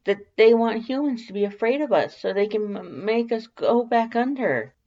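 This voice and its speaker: A man's voice: high voice, lively intonation, normal speed, darkish tone, dull audio, voice pushed up. A man voicing a nervous, earnest female monster who stammers and speaks urgently in an audiobook-style story. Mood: urgent, indignant.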